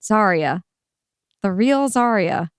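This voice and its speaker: Actress as a dystopian adventure heroine, dry close sound. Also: female voice, mid-range voice, animated, slow pace, clean tone, no echo. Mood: earnest. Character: women in a satirical dystopian adventure. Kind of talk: dramatic audio play acting.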